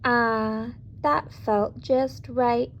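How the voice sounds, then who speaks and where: A woman reading a children's story aloud with deliberate, dramatic emphasis, speaking directly to young listeners. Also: a little high, fairly even intonation, slight background noise. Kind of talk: expressive children's read-aloud with asides to listeners.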